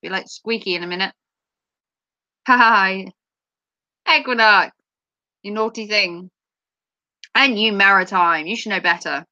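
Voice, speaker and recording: A woman livestreamer chatting playfully with her viewers, her voice going a little hoarse after hours of talking. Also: medium pitch, a little slow, long pauses, pushed and bright, dry recording, dull audio. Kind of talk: casual chat with viewers.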